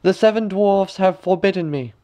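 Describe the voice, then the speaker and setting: Man voicing a young princess, high-pitched, innocent, cautious, earnest, storybook. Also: moderate pace, dark tone, natural voice. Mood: cautious, firm.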